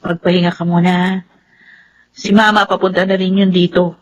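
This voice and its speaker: An actress playing a playful, caring young younger sister in Filipino radio drama, with a chirpy manner. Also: female voice, fairly low pitch, flat delivery, normal speed, muffled recording, natural voice. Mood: concerned, soothing.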